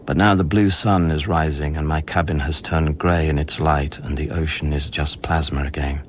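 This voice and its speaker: A man's voice: very deep voice, fairly even intonation, moderate pace, a little hiss or hum. An actor playing a reflective, troubled scientist narrating in a radio play, pensive and hesitant in his phrasing. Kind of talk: radio drama monologue. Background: music.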